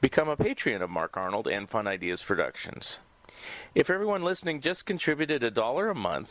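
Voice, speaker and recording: A man's voice: mid-range voice, a bit bright, no echo. A man in his fifties hosting a podcast in a casual, conversational style. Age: 50s. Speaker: podcast host.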